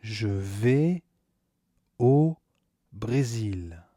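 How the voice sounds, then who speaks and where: Very slow, dark-voiced male French teacher. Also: fairly low pitch, lively intonation, no echo, studio quality. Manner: slow, deliberate, repeats key words with stressed rises. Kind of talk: scripted beginner grammar lesson.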